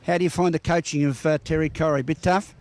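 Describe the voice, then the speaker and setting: An Australian man working as a sports reporter, asking halting, wordy questions in a post-match interview. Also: a little high, fairly even intonation, darkish tone, dry recording.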